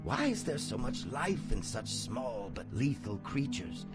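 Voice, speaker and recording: A man's voice: medium pitch, big pitch swings, over loud music. An actor playing an ailing, courteous but evasive older man in a video game drama, speaking formally and philosophically. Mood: weary, musing. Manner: formal, philosophical, measured speech.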